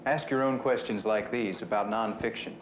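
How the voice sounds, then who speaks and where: An actor playing a chatty explainer, presenting an educational film in a conversational, scripted way. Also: male voice, on the low side, steady tone, moderate pace, a little hiss or hum, natural voice. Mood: explaining. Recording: muffled 1970s film soundtrack with music.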